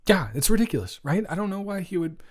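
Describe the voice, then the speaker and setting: Man acting as emotional, halting young best friend. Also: sits high, very animated. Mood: flustered, earnest. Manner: halting, emotional, naturalistic speech. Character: boyfriend's best friend, indignant yet gentle young man. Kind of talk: improvised intimate audio roleplay.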